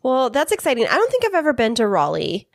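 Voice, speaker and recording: A woman's voice: a little high, wildly swooping pitch, fast, gravelly, no echo, studio quality. An American woman co-hosting a podcast, asking questions in a casual, chatty way. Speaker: podcast co-host, interviewer. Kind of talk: casual chat.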